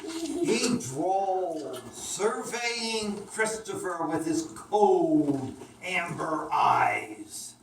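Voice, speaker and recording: A woman's voice: fairly low pitch, sing-song, extremely slow. A woman narrating a children's story expressively as a puppet show storyteller. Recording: echoey room, distant mic, clear noise.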